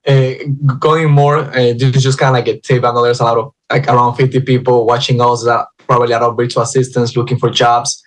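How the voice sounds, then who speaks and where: A man who works as a recruiter at a staffing agency, giving practical advice on a panel in a steady, advising way. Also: mid-range voice, brisk, hardly any pauses. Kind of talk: panel discussion.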